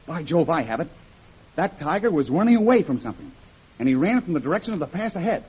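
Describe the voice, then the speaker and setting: An earnest jungle adventurer, high for a man and very husky. He reasons aloud at a fast clip in old radio serial style, on a muffled recording. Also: very animated, a little mellow, exaggerated voice. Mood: pondering. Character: quick-thinking, excitable male jungle adventurer.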